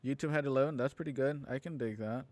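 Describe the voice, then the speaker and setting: A man with a medium-pitched voice hosts in a casual, conversational way. The recording is dry, with little sound of the room. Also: fast talker, darkish tone, dry recording. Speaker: host of livestreamed radio drama. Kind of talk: casual livestream chat. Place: at home.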